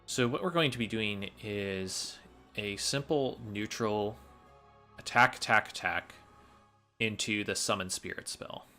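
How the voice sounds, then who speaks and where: Bright-voiced man explaining a game, low pitch. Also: unhurried, faint music behind. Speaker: speedrun commentator.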